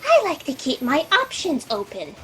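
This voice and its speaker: A woman's voice: sits high, huge pitch swings, moderate pace, a bit bright, a little hiss or hum, exaggerated voice. A woman playing an eager, opportunistic junior copy aide with a formal manner in a sci-fi satire audio drama.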